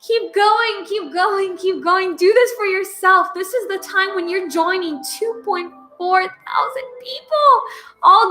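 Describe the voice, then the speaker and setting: A woman leading a guided breathwork session, coaching slowly and soothingly, with repeated prompts and audible sighing breaths. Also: very high voice. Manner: slow, soothing, repetitive coaching with loud breathing sighs. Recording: music playing behind.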